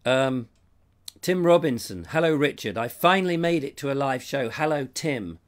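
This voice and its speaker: A man's voice: medium pitch, lively intonation, normal speed. A middle-aged English man hosting a live stream, chatty and rambling, his voice strained by a cold and fading out. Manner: rambling, chatty, reads comments aloud. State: has a cold, losing his voice. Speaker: show host. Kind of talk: live-stream chat reading viewer comments. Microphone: lapel mic.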